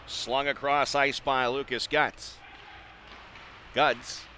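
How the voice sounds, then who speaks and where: An American man doing live radio-style play-by-play hockey commentary, measured in routine play and excitable on big plays. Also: medium pitch. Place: indoor ice arena. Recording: noisy live rink broadcast.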